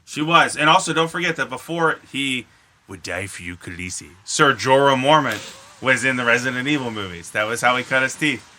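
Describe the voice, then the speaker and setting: A man co-hosting a podcast in casual chat, telling rambling, profanity-laced stories in an animated way. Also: medium pitch, brisk. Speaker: podcast co-host.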